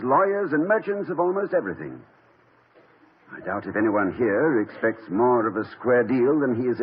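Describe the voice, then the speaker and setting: An actor playing an erudite, dignified college president who speaks formally and with dry wit in a 1950s radio drama. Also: male voice, medium pitch, slightly rough. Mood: stern, measured. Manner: formal, measured, dryly witty. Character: erudite, dignified, wry college president, middle-aged man. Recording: dull lo-fi old radio broadcast.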